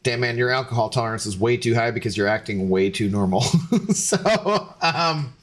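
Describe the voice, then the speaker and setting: Tipsy young dude streamer rambling to chat, mid-pitched voice, lots of ums. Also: male voice, lively intonation, normal speed. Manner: rambling and tipsy, many fillers and restarts. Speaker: game streamer. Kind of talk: casual stream chat to viewers.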